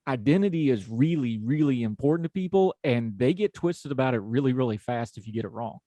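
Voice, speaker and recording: A man's voice: mid-range voice, lively intonation, fairly quick, mellow, dry recording. A man from West Virginia with an Appalachian accent, talking in a folksy, conversational way as a podcast host. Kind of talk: conversational interview.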